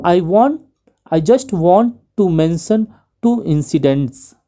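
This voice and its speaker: A woman with an Indian English accent reads a parliamentary speech as shorthand dictation. She speaks very slowly, in measured chunks with steady pauses, in a mellow, somewhat low voice. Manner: deliberate phrase-by-phrase delivery with pauses.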